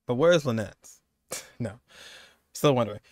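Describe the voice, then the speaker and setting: A man's voice: medium pitch, lively intonation, moderate pace, no room sound, studio quality. A man in his twenties giving loose, conversational, slangy reaction commentary as a video host.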